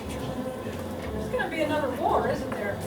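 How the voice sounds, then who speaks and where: A woman playing a worried, gentle farm wife and mother in a stage drama. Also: high-pitched, lively intonation, measured pace, very noisy. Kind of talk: stage play in radio drama style. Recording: echoey distant stage, loud music and crowd sound.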